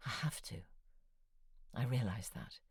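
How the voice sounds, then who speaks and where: An actress playing a middle-aged romance novelist in an audio drama, speaking in a quiet, confiding way that sometimes drops to a whisper. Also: female voice, extremely low pitch, unhurried, creaky, husky, no echo, full character voice. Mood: pleading. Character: introspective middle-aged woman romance novelist, wry and lonely. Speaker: confessional monologue. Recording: dry close studio with faint music.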